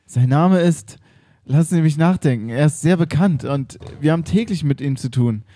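A man's voice: fairly high pitch, moderate pace, mellow, dry recording, studio quality, close to an everyday voice. A man playing a fawning, rambling museum curator who stammers in an improvised comedy radio play. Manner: flustered, stammering, starstruck.

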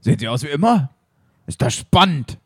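A man's voice: a little high, sing-song, normal speed, vocal fry, a bit bright, slightly rough, dry recording, clean studio sound, full character voice. A man playing a fumbling, eager police chief in an improvised audio drama, hesitating and losing his thread. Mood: eager, confused. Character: fumbling, admiring male police chief, eager but slow to follow.

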